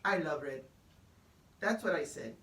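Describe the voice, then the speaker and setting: A woman, a teacher, reading a children's story aloud in an animated style. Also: low-pitched, measured pace. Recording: roomy, distant microphone.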